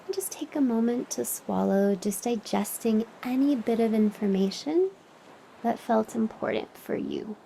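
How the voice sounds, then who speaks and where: A woman leading a guided meditation as a teacher, soothing and unhurried, with long pauses and breathy phrase endings that drop toward a whisper. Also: a little high, warm tone, a little hiss or hum, rough recording. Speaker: meditation teacher and podcast host.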